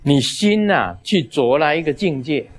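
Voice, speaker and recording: A man's voice: a little high, lively intonation, a little slow, soft background music. A man in his sixties, a Buddhist master giving a dharma talk, telling jokes and stories in short phrases. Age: 60s. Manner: lighthearted, storytelling in short phrases.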